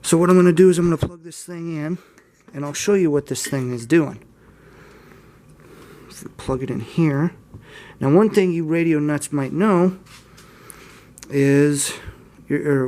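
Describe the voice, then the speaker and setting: A man's voice: on the high side, moderate pace, pauses often. A man talking casually and informally as he gives a hands-on demonstration, rambling a little and self-deprecating. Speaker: hobbyist video host. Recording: steady mains hum and room noise. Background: electrical hum and faint static.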